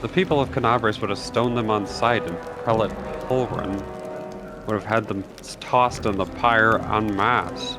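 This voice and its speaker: Man voicing a haughty, low-voiced rich merchant over music. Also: unhurried, natural voice. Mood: dour. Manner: formal, haughty, indignant. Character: proud, hard-nosed wealthy male merchant, gruffly high-minded. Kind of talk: reading video game dialogue aloud. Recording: loud music under the voice.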